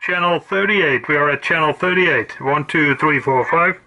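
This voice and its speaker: Man demonstrating gear over loud static. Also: fairly high pitch, moderate pace. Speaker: radio hobbyist demonstrator. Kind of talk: informal equipment demonstration. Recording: loud radio static and noise.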